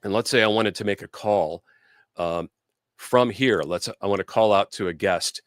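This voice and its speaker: Low-voiced man hosting a live demo. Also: normal speed, no room sound, studio quality. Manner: informal, conversational, explanatory. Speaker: host and product demonstrator. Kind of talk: casual live product demo and interview.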